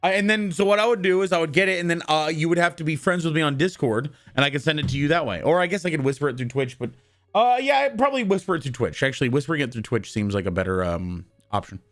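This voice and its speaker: A man with a worn-out, husky voice chatting casually with his live-stream viewers and shouting greetings to newcomers. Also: a little high, expressive, quick pace, soft background music. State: hoarse, worn-out voice.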